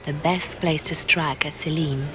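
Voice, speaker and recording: An actress playing a shrewd, guarded, businesslike spymaster in a fantasy video game. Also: female voice, sits low, fairly even intonation, measured pace, over music. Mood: guarded, intent.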